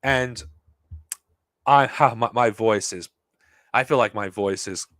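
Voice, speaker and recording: Podcast host, male, mid-pitched, worn hoarse voice, swears freely and restarts sentences, dry close mic. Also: slow, a bit bright, no echo. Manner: heated, profane, rambling with restarts. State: losing his voice. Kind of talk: unscripted monologue to viewers.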